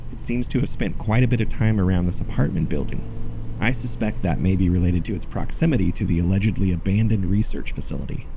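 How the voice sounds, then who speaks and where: Amateur investigator, horror audio drama: earnest, low gravelly voice on a noisy field recording. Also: male voice, quick pace. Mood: drawn, uneasy. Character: earnest, obsessed male amateur investigator podcaster.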